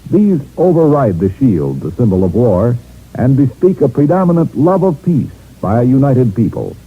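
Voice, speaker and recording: A man's voice: mid-range voice, very animated, moderate pace, husky. A man reading a formal scripted radio announcement. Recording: muffled phone-like vintage radio recording.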